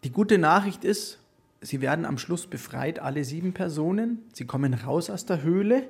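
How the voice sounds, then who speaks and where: A male radio interviewer with a slightly high voice and a husky edge. He phrases his prepared questions in a measured, articulate manner. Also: animated.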